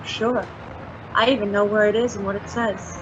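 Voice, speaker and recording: Scheming femme fatale informant , actress, mid-pitched, coy and deliberate, dull recording with street noise. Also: female voice, steady tone, natural voice. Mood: secretive. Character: sly, flirtatious female informant selling dangerous secrets. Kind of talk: hard-boiled detective radio drama, femme fatale. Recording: dull, noisy track with street ambience.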